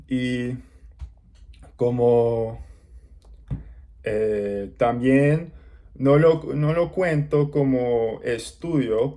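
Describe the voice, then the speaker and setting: Slow, mellow-voiced man learning the language. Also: medium pitch. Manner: halting learner's speech with pauses and restarts. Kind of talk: casual talk to camera.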